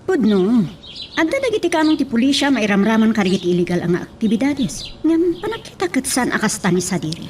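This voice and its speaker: A woman's voice: a little high, very animated, fairly quick, darkish tone, slight background noise. A woman playing a concerned, inquisitive young best friend in an audio drama. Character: sympathetic, curious young female best friend.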